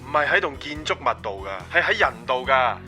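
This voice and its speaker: Earnest young Hong Kong man, bright tense voice, medium pitch, upbeat boyfriend, radio drama, faint music. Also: very animated, brisk. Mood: earnest, deflated. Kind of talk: Cantonese radio drama acting.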